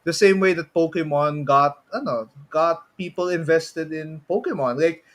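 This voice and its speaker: Male podcast host, slightly high voice, Filipino accent, conversational, drops Tagalog particles into English. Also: moderate pace, a bit bright. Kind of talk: casual podcast chat.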